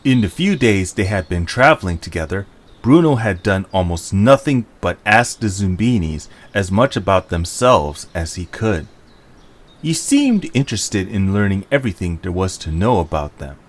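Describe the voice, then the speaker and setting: A man's voice: sits low, big pitch swings, normal speed. A man narrating a scripted story for an audio drama.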